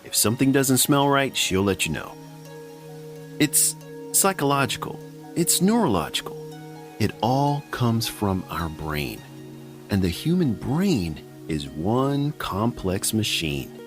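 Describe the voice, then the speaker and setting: A middle-aged man hosts a podcast in a wry, chatty, self-mocking way. His voice sits low but moves a lot, rising and falling as he jokes. Soft music plays faintly behind him. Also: sing-song, takes it slowly. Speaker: podcast host. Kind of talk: casual scripted podcast narration.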